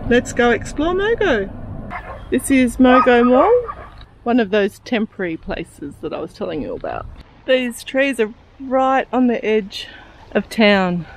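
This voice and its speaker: A woman, narrating a casual travel vlog in a relaxed, chatty way while touring outdoors. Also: fairly high pitch, a little slow, slight background noise, poor audio. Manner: chatty, relaxed tour guiding. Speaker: travel vlogger. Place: outdoors touring a town and wildlife park.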